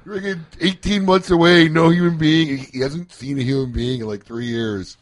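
A man hosting a casual radio talk show from a studio, joking and ranting in an animated way. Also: fairly high pitch, normal speed, slight background noise. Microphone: studio microphone.

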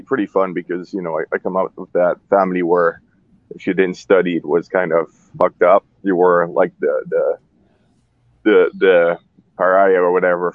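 A low-pitched man in his thirties, from Switzerland, chats as a guest in a radio studio. His talk is loose and candid, with plenty of fillers and restarts. The sound is dry and a little dull. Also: dry recording. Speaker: guest, cigar maker. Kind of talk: relaxed interview talk.

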